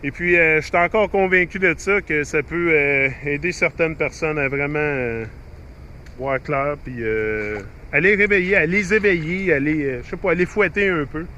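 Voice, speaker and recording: A man's voice: fairly high pitch, moderate pace, bright, forceful tone, highs cut off, a little hiss or hum. A middle-aged man with a Québécois French accent, talking to his YouTube viewers in a rambling, chatty way with many fillers and restarts. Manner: rambling, chatty, frequent fillers and restarts, mixes in English phrases. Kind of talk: rambling casual monologue to viewers.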